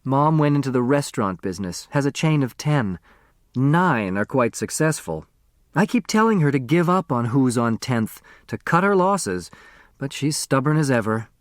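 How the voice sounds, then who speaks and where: A man voicing a loyal woman lawyer in an audiobook, matter-of-fact and gently reassuring. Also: mid-range voice, very animated, normal speed, no echo, clean studio sound, natural voice. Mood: fond, upbeat.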